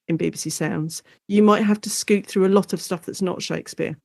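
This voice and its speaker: A woman chatting casually as an interview guest, animated and often correcting herself as she explains. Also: medium pitch, a little fast, vocal fry, no room sound, studio quality. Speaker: guest, university lecturer and author.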